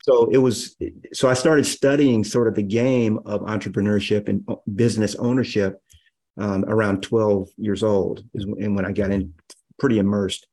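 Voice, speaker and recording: A man in his fifties, a guest on a podcast, telling stories in a relaxed, rambling way with many fillers. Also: on the low side, normal speed. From Los Angeles area, USA. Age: 50s. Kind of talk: casual storytelling interview.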